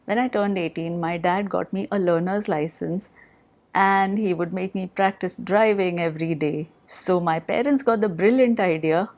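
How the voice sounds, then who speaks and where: A woman's voice: lowish voice, normal speed, darkish tone. A woman with an Indian accent telling personal memories as a podcast host, in a plain-spoken, reflective manner. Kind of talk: personal storytelling.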